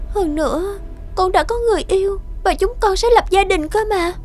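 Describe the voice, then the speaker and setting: High-voiced pleading teenage girl. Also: moderate pace. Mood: anxious pleading. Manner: timid, pleading. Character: pleading sixteen-year-old village girl, meek and sorrowful. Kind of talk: animated fairy-tale acting. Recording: music behind the voice.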